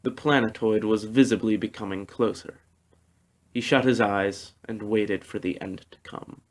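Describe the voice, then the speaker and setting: A man reads a scripted storybook narration in the manner of an amateur audiobook. His voice sits at an ordinary middle pitch, and he delivers the prose as a narrator. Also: steady tone, a little slow.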